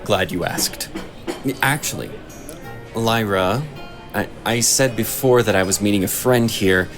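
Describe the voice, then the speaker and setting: A man's voice: on the low side, measured pace, music in the background, close to an everyday voice. A man playing a stoic, weary hunter in a fantasy audio drama, dry and placating in manner. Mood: measured.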